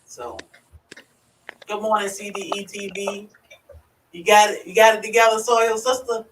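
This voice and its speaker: A woman's voice: mid-range voice, steady tone, measured pace, a crowd in the background. Country-voiced Virginia woman in an echoey room. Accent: rural Virginia. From Virginia, USA. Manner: chatty, laughs often, greets viewers by name. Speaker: livestream host. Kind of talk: casual live chat. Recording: echoey room, far from the mic.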